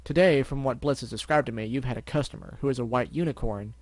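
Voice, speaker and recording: A level-headed storybook unicorn stallion, voiced by a man who speaks in a measured, firm tone of calm exasperation. His voice sits at an ordinary middle pitch. The sound is dry and close. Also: no room sound, lightly acted. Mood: serious. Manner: measured, firm, matter-of-fact. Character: calm, serious lavender unicorn stallion, investigator.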